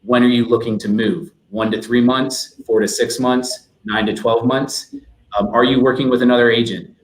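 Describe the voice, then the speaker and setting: A man with a mid-pitched voice gives an explanatory talk as a webinar guest. His manner is businesslike. The recording has a noticeable roomy echo. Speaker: guest speaker. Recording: roomy, echoey sound.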